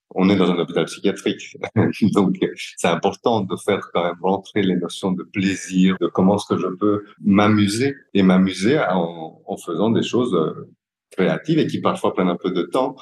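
A man's voice: low-pitched, a little mellow, poor audio. A man with a Belgian French accent reflecting in an interview as a care worker, explaining hesitantly and searching for his words.

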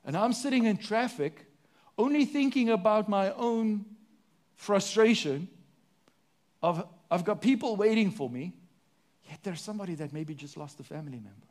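High-voiced South African preacher. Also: male voice, lively intonation, moderate pace, darkish tone. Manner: preaching with sweeping rises and drops, rhetorical questions. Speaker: pastor. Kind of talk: sermon.

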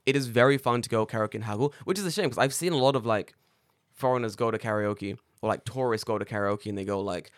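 A man co-hosting a casual podcast, his voice a little raspy, telling stories with lively acted-out quotes and plenty of swearing. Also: fairly low pitch, fast, no room sound, clean studio sound. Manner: animated storyteller acting out quoted dialogue, swears freely. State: slightly raspy voice after karaoke. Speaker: podcast co-host. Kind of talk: casual chat.

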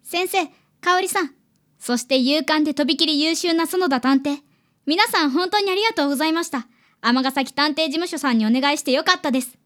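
Woman playing high-pitched, devoted young elder sister. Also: brisk, a bit bright. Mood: moved, grateful. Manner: polite, sincere. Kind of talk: radio drama acting.

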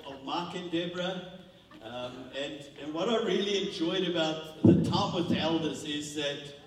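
A man in his fifties with a South African accent, preaching and telling his testimony in a church, with frequent restarts. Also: on the high side. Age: 50s. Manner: repetitive restarts, grows more fervent. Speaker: visiting preacher. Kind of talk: sermon with testimony. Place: church hall. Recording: echoey hall, voice from far off.

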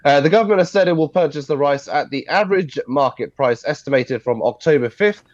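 A man with a stuffy, congested voice, briefing on the news over a video call, steady and explanatory with many fillers. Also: a little high, a little fast, no echo, dull audio. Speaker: news correspondent.

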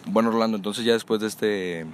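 A man with a Mexican accent in casual banter, joking, slangy and swearing freely, with sudden loud bursts. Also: lowish voice, fairly even intonation, brisk, a little hiss or hum. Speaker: co-host. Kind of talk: casual chat.

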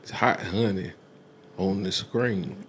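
A man's voice: sits low, steady tone, slow, fairly bright tone, a little hiss or hum. A man co-hosting a podcast, bantering casually with slangy interjections and repeated phrases. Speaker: podcast co-host.

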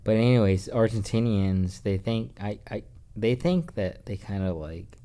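A man co-hosting a podcast in casual banter, joking and halting as he repeats himself. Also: fairly low pitch, animated, warm tone. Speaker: podcast co-host.

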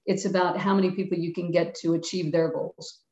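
A woman's voice: on the low side, level tone, fairly quick, darkish tone. A woman, a retired basketball coach answering interview questions frankly and plainly. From Philadelphia. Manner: frank, plainspoken, acts out quotes of herself and players. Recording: echoey, dull-sounding room.

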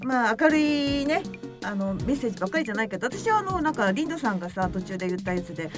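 A woman co-host speaks fast with a lively, rising-and-falling voice, urging listeners on and agreeing with her partners. Loud music runs beneath her the whole time. Her pitch sits fairly high. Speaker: radio show personality. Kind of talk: casual chat about a film. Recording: loud music bed behind the voice.

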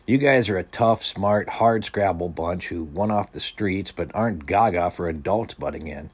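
A man voicing an earnest boy with plans to pitch, explaining persuasively in a storybook reading. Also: normal speed, breathy edge. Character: earnest, scheming boy, eager to persuade his friends.

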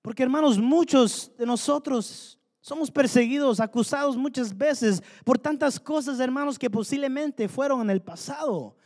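A man's voice: extremely high pitch, moderate pace, hardly any pauses, darkish tone. A man preaching a sermon, fervently asking the congregation rhetorical questions. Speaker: pastor. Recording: long hall reverb.

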